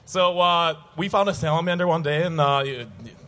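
A young man from Russia, a guest on a live podcast on a festival stage, talking with many hesitant pauses. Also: on the high side, fairly even intonation, sharp tone. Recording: live stage with crowd noise.

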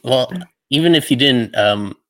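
A man hosting a podcast, asking long interview questions in a halting way with frequent ums. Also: medium pitch, fairly bright tone, no room sound. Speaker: podcast host.

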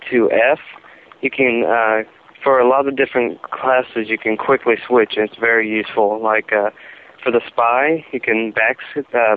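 A man calling in with a gaming tip, halting and full of fillers. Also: medium pitch. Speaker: caller. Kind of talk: phoned-in voicemail tip. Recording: tinny phone line.

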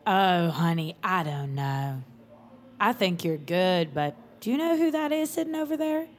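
Slow-drawling, folksy woman. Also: fairly low pitch, lively intonation. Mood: gossipy, cautioning. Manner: folksy, teasing, effusive. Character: sassy, folksy, friendly woman at a poker game. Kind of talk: audio drama dialogue.

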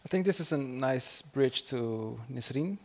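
A man moderating a literary event, introducing a guest in a halting way. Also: mid-range voice, animated. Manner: halting, with fillers.

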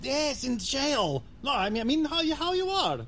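A man's voice: extremely high pitch, sing-song, moderate pace, a bit bright, slight background noise, exaggerated voice. An actor playing a jokey, stammering Russian ex-crook who insists he is legit, with a thick Russian accent and broken English, in an audio drama. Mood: startled, ingratiating. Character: nervous joking Russian ex-crook insisting he has gone legit.

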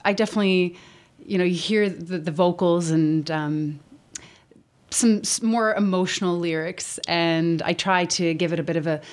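A young woman in her twenties whose first language is Albanian, a musician guest on a radio show, talking quickly with frequent ums and restarts. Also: on the low side. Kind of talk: casual radio interview. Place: radio studio.

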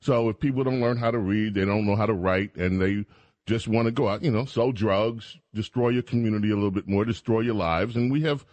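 A man's voice: lowish voice, a little fast, a little mellow, no room sound. Sarcastic radio host, man, close dry studio mic, mocking guests. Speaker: radio talk show host. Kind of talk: talk radio chat. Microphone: studio microphone.

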